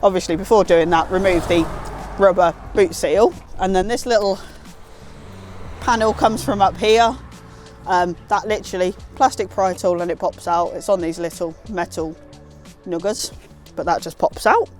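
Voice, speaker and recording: A woman's voice: lowish voice, moderate pace, a little mellow, soft background music. A British woman giving a casual, hands-on tutorial in an informal, self-deprecating way. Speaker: presenter of a car installation guide.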